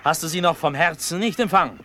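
Proud young prince, high and ringing. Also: male voice, fast, bright, forceful tone, a little hiss or hum. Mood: scornful. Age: young adult. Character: impetuous, proud young prince and cavalry general. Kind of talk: German classical verse radio drama acting.

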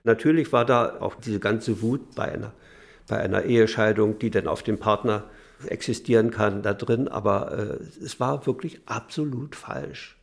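An older man giving an unscripted recollection of his parents, halting as he searches for words. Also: fairly low pitch, gravelly. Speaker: eyewitness recalling his parents.

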